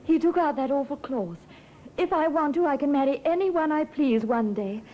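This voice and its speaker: An actress playing a persuasive, solicitous wife in a 1950s radio drama. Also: female voice, sits high, expressive, moderate pace. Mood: anxious, coaxing. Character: persuasive, solicitous wife of an ailing older man. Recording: muffled, hissy old radio recording.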